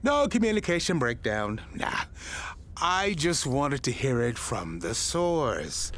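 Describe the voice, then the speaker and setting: Swooping, courtly small-town radio boss. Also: male voice, on the high side, a little hiss or hum. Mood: earnest, musing. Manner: courtly, halting, wordy. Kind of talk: audio drama dialogue.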